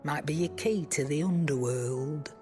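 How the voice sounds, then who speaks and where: An actress playing a shrewd, worldly trader with a blunt, colloquial way of talking in a video game. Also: female voice, low voice, unhurried, warm tone, soft background music. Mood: shrewd, matter-of-fact. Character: shrewd, earthy female trader, brisk and worldly. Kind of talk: video game cutscene dialogue.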